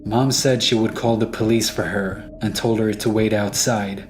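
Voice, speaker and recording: A man's voice: on the low side, steady tone, moderate pace, a little hiss or hum. A man narrating a scripted first-person horror story. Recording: music behind the voice.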